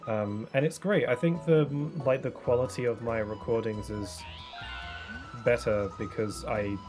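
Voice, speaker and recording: A young man chats casually over a live game stream, his speech loose and rambling, full of fillers and restarts. He sits at a desk mic in a home setup. Clear game music runs steadily behind his voice. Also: medium pitch, a little slow. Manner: rambling, soft-spoken, many fillers and restarts. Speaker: streamer playing a video game. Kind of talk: casual chat while gaming.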